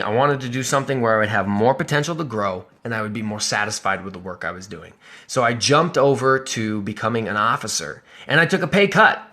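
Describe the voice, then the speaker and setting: Young man in his twenties, mid-pitched voice, casual earnest vlogger who turns emphatic. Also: sharp tone. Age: 20s. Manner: earnest, emphatic, building to bursts of conviction; conversational with restarts and self-corrections. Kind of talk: personal finance vlog over slides.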